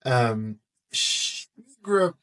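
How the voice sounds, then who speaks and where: A man's voice: mid-range voice, expressive, extremely slow, a bit bright. A middle-aged American man from Texas talking casually as a podcast guest in an interview. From Texas, United States.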